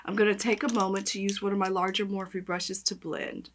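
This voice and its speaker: A woman with a raspy voice, chatting and gushing as she does her makeup on camera. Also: mid-range voice, level tone, a little fast. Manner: gushing, chatty, talks while applying makeup. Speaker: beauty vlogger. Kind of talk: makeup tutorial chat.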